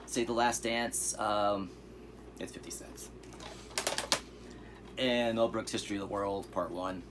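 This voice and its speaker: Man, very deep voice, hoarse, casual rambling vlog talk, noticeable background noise. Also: unhurried. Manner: relaxed, rambling, with fillers and asides. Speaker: vlogger showing thrift finds.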